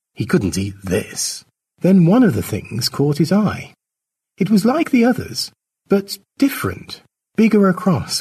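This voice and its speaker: A man's voice: mid-range voice, a little mellow, slightly rough. A man reading his own short story as a scripted narration.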